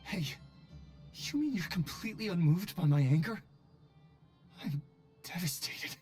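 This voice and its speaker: An actor voicing an earnest, fretful colleague in a video game, speaking politely but emphatically. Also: male voice, sits high, lively intonation, a little slow, darkish tone, breathy edge, faint music behind, heavily acted. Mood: exasperated, indignant. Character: earnest, fretful male colleague, concerned and exasperated.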